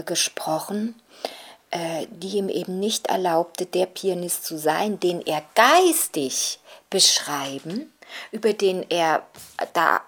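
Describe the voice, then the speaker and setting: A woman with a breathy, half-whispered voice that sits slightly low. She talks unhurriedly, weighing each phrase and filling gaps with soft hesitations, in a reflective commentary manner. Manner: slow and hesitant, pausing with fillers. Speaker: expert interviewee. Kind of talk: thoughtful spoken commentary.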